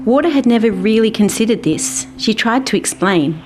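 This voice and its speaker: A woman's voice: fairly high pitch, lively intonation, normal speed, over music. A woman narrating a scripted children's story as its storyteller. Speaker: author and storyteller.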